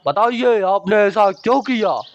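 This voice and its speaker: A man's voice: sits high, a little slow. A man voicing a worried male village leader in a storybook horror cartoon, speaking with alarmed exclamations. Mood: anxious. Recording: dry, dull lo-fi sound.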